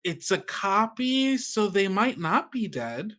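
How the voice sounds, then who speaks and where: Man playing a flustered, excitable kid, lively pitch. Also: heavily acted. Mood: worried. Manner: halting, repetitive, flustered stammering. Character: excitable, curious young kid with a journal.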